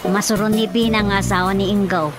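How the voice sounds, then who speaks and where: A woman's voice: mid-range voice, flat delivery, a little fast, over loud music, close to an everyday voice. Gossipy Ilocano village woman played by an actress. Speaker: radio drama voice actor. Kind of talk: Ilocano radio drama comedy acting.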